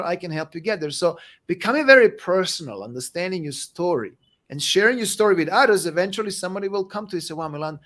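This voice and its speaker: A man whose first language is Serbian, answering interview questions in an energetic, emphatic motivational way, often tagging "right?" onto his points. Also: fairly high pitch. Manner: energetic, emphatic, repeats "right?" and "you know what?". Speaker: guest speaker and coach.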